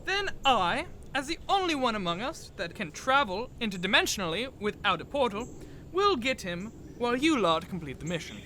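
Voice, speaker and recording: A self-regarding audio-drama adventurer, acted by a woman, with a theatrical, grand delivery. The voice is bright and lively, rising and falling freely. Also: a little high, moderate pace, slight background noise. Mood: breezy, grand.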